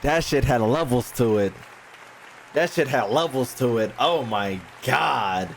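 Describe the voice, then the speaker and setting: A young man doing casual, slangy reactions while playing a video game, breaking into loud laughter. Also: medium pitch, animated, unhurried, gravelly, a faint crowd, a little hiss or hum. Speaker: gaming streamer. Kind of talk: casual let's-play commentary.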